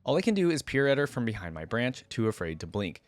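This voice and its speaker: A man voicing a shy, clumsy young female fawn spirit as he reads a fantasy story aloud in a gentle, hesitant manner. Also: mid-range voice, very animated, quick pace, no room sound, natural voice. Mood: timid wonder. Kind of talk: first-person fantasy story read aloud.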